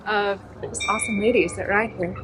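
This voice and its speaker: A woman's voice: medium pitch, steady tone, brisk, poor audio. A woman talking casually as a founder and mountain bike instructor, explaining things in loose everyday phrases. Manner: casual, frequent "like" and "kinda". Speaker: non-profit founder, biking instructor. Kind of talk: interview talk and hands-on instruction. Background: music.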